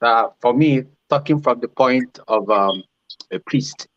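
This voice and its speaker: A man who is a priest, speaking on a panel in a halting way with many fillers. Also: medium pitch, lo-fi sound, slight background noise. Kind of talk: panel discussion remarks.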